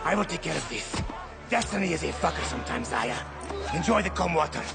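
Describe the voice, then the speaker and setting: An actor playing a jovial, battle-loving middle-aged ship captain in a video game, with a grandiose, theatrical way of talking and a gravelly voice. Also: male voice, on the high side, lively intonation, fairly quick, over loud music. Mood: defiant, rousing.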